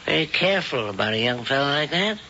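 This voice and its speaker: A sinister old warlock uncle, gruff and commanding. He barks short orders in a dated radio drama. The sound is dull and hissy, like an old broadcast. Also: mid-range voice, big pitch swings, fast, pushed and bright. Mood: warning. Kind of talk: 1970s radio drama acting.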